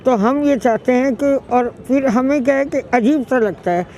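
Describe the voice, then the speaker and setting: A woman's voice: high-pitched, moderate pace, dark tone. A middle-aged woman answering street interview questions outdoors in a park, speaking fondly and emphatically and repeating herself. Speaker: longtime newspaper reader interviewee.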